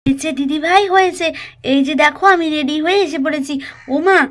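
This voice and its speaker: An eager young village housewife from a doll cartoon , acted by a woman, speaks very high. She chatters and coaxes in a sing-song, exclaiming way. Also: brisk, lightly acted. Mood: bright, eager.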